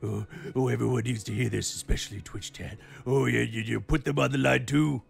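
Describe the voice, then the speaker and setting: Frantic, very gravelly bear from the future. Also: male voice, medium pitch, fairly even intonation, a little fast. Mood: urgent, flustered. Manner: stammering, urgent, rambling. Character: frantic gruff bear man from a ruined future. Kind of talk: comic in-character recorded plea. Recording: music under the voice.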